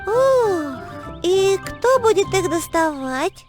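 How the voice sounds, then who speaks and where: Very high-pitched little girl heroine. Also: big pitch swings, slow, faint music behind, exaggerated voice. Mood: pondering. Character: cheerful helpful little girl cartoon heroine who hates dirt.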